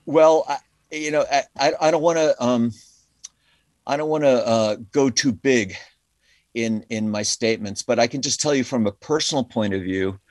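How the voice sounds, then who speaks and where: A middle-aged man with a mid-pitched voice gives a reflective interview in a dry sound. He talks in a loose, enthusiastic ramble, circling back often with conversational asides. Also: moderate pace, a little mellow, dry recording. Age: middle-aged or older. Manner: rambling, enthusiastic, frequent "you know" and "like". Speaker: musician being interviewed. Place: calling in remotely to a podcast.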